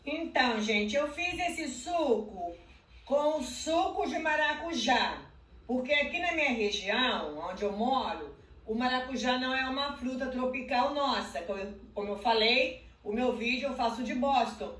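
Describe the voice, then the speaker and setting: A Brazilian woman in her fifties chats warmly and directly to her viewers from a home kitchen, her high, bright voice bouncing around the room. The camera mic sits a little away from her, so the sound is roomy and a touch distant. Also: lively intonation, measured pace. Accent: Brazilian Portuguese. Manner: affectionate, chatty, addresses viewers directly. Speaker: juice channel host. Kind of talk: casual cooking vlog to followers.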